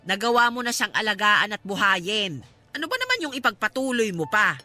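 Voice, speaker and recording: A woman's voice: medium pitch, sing-song, brisk, sharp tone, soft background music. A woman with a Filipino accent acting in a radio drama as a caring, outspoken friend and mother, emphatic and coaxing. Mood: earnest persuasion.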